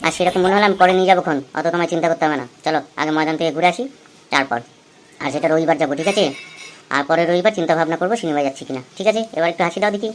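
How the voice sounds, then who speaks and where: Casting: male actor as thirties husband for comedy cartoon, quick delivery, chatty, thrifty, coaxing and teasing. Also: fairly high pitch, slight background noise, natural voice. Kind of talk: amateur comedy cartoon dialogue.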